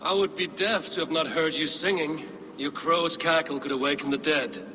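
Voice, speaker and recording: An actor playing a steady Viking clan leader with a dry, wry and firm manner. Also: male voice, a little high, normal speed. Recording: game cutscene with ambient noise.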